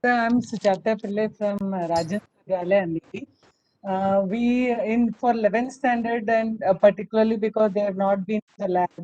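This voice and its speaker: A woman teacher giving earnest workshop feedback, pausing often to search for words. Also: mid-range voice, steady tone, mellow. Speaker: school teacher. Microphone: video call. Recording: dull video call audio with hiss.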